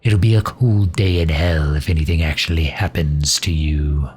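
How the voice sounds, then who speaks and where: A man playing a loyal, sharp-tongued mage advisor in an ASMR roleplay drama, with a dry, sardonic, drawn-out delivery. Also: extremely low pitch, fairly even intonation, husky. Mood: guarded, dry.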